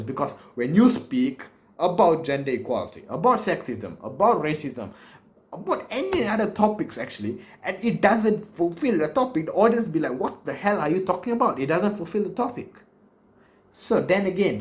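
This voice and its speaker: A young man in his twenties speaks with a Malaysian English accent, coaching viewers on speech writing in a loose, playful way. He talks into a close camera mic in a small bookshelf-lined room, jokes as he goes, and slips off topic now and then. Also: a little high. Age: 20s. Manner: animated, joking, big hand gestures, wanders off topic. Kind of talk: casual vlog lesson. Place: small bedroom with bookshelves, indoors.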